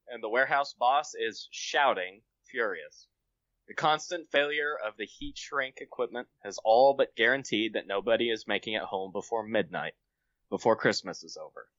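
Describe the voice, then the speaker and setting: A man reads his own comic story aloud on a podcast. His voice is bright and sharp, recorded very dry and close, with a slightly lo-fi, dulled edge. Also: medium pitch, no echo. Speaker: podcast guest, amateur writer.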